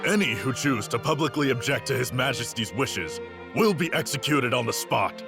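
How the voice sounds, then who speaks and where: A commanding leader of a kingdom's army declaims in a medium-pitched voice. He is stern and solemn, phrasing everything like a deliberate proclamation in a fantasy game. Music swells clearly behind him. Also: animated. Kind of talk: video game voice acting, proclamation.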